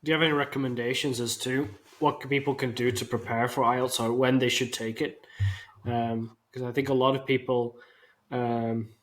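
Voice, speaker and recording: Male host, interviewer, level monotone delivery, structured, informative. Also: medium pitch, moderate pace, rough recording. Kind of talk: interview questions and explanations.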